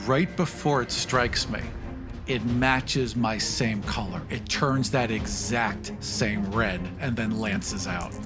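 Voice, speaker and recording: A man's voice: medium pitch, slow. A man playing a tabletop game, casually narrating his character's actions in vivid first-person detail. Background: music behind the voice.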